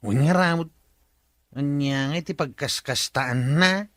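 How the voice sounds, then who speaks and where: A man's voice: on the high side, measured pace, a bit bright, dry recording. A man playing a simple, cheeky village drinking buddy in a comedy radio drama. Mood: sheepish.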